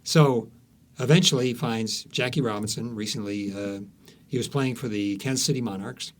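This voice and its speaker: A man's voice: mid-range voice, moderate pace, slight background noise. A man speaking as an author being interviewed, telling stories conversationally, searching for words and repeating some for emphasis.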